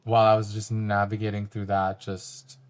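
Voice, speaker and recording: A young man with a low-pitched voice speaks as a podcast guest. He talks in a relaxed, reflective way and pauses often on um. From Canada. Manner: relaxed, reflective, with frequent ums. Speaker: guest artist. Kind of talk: casual interview talk.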